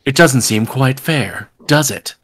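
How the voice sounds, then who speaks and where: A man's voice: medium pitch, animated, a little slow, background noise. A man reading a script aloud at a table read on a video call, irreverent and jokey, with sweary asides. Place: home room on a group video call.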